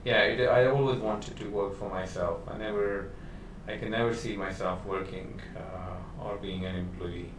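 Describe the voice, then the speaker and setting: A man's voice: low voice, fairly even intonation, moderate pace, hardly any pauses. A man hosting a business podcast, talking casually, with many fillers and restarts. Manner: conversational, lots of fillers and restarts. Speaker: podcast host. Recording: roomy echo, distant mic, faint noise.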